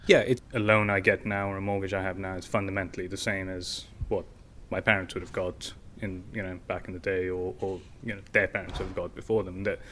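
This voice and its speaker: Male founder as interview guest, flat delivery, casual explainer, faint noise behind. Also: low voice, fairly quick, hardly any pauses. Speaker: guest, tech company founder.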